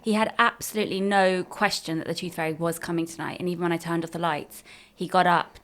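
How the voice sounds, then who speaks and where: Woman telling a home story, lively chat. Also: lowish voice, fast. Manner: storytelling, animated when quoting others. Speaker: podcast co-host. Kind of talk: casual chat.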